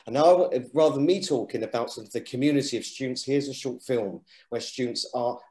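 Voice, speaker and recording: Male lecturer, halting delivery with fillers, roomy sound. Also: mid-range voice, darkish tone. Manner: halting, with many fillers and restarts. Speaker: architecture lecturer presenting an open day. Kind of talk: presentation over slides.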